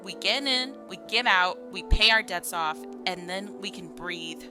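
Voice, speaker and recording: A woman's voice: medium pitch, a little slow, bright, forceful tone. A woman playing a haunted young caller, telling her horror ordeal suspensefully in a radio drama. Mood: rueful. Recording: music under the voice.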